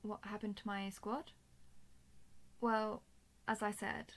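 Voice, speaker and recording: A female robot soldier, acted by a woman, speaks with a fry-edged voice at a medium pitch. She is formal, measured and emotionless, yet dutiful and protective as she addresses the listener in an audio roleplay. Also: lightly acted.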